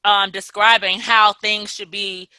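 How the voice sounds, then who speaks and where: A woman hosting a casual interview, affirming and conversational with a relaxed, slangy way of talking. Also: medium pitch, unhurried, clear, resonant tone, dry recording, low-quality recording. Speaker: host.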